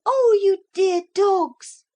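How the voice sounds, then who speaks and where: A woman doing an excited little girl. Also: sits high, unhurried, pitched above the performer's own voice.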